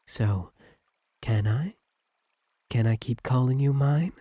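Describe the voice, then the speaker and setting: A man playing a possessive, doting yandere fox-spirit husband in an intimate ASMR roleplay, breathy, tender and clingy with a sweetly menacing edge. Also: medium pitch, dark tone, dry recording, heavily acted. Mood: possessive, pleading. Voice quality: breathy, husky, at times whispered. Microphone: close, dry microphone.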